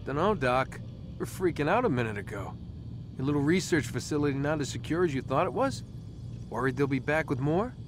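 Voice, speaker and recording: A man's voice: fairly high pitch, lively intonation, fairly quick, noisy background, natural voice. An actor playing a defiant young male captive in a video game, sarcastic and casual. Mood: taunting. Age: young adult. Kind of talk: naturalistic video game acting.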